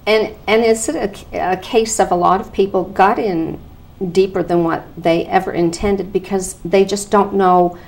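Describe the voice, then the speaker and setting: A woman hosting a television interview show, slightly hoarse from a cold, asking conversational questions and acting out a caller's worries. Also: mid-range voice, fairly even intonation, moderate pace, a little mellow. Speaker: television talk show host. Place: television studio.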